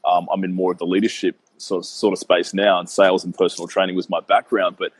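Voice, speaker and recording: A man's voice: low voice, level tone, fast, husky, no room sound. A man in his mid-thirties, a guest talking earnestly about his work in a casual interview, with a rambling style full of "um" and "you know". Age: 30s. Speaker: guest, business owner.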